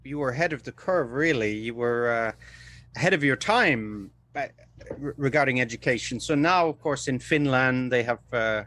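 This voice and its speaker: A man's voice: mid-range voice, a bit bright. A man hosting an interview, asking questions with hesitant uhs between phrases. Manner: hesitant, fills pauses with uh. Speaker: podcast host, interviewer.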